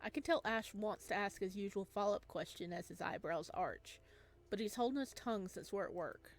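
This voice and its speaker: A woman reading a visual novel aloud as a let's-play host, with expressive narration and casual asides. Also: medium pitch, a little fast.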